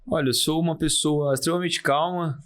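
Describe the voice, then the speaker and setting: A man in his thirties with a Brazilian Portuguese accent, a podcast guest talking in a calm, halting way with many restarts. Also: fairly high pitch. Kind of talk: casual interview talk.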